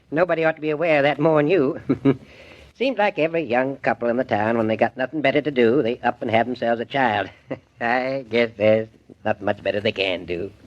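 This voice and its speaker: A man's voice: mid-range voice, a little fast, natural voice. An actor playing a shrewd, folksy small-town selectman with a drawling rural way of talking, in a 1940s radio play. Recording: muffled vintage radio recording.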